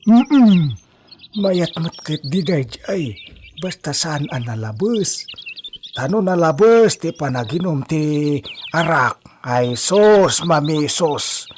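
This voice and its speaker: A man's voice: a little high, lively intonation, slow, a little mellow. A man with an Ilocano Filipino accent playing a humble, spry old farmhand in a comedy radio drama, drawling and exclaiming in a folksy way. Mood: chatty, advising. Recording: noisy track with bird chirps.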